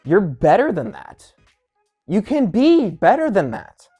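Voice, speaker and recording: An online commentator delivers an opinionated rant straight to the listener at a medium pitch. The delivery is emphatic and pleading, circling back to repeat points for weight. Music plays behind the voice. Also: sing-song, takes it slowly, warm tone, husky. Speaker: video creator giving commentary.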